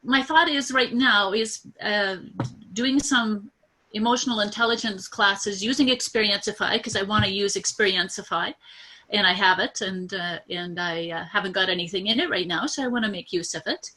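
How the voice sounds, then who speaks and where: A nervous woman explaining her business idea on a video call, halting and full of fillers and restarts. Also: mid-range voice, bright, forceful tone, low-quality recording. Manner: hesitant, many fillers and restarts. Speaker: coaching client.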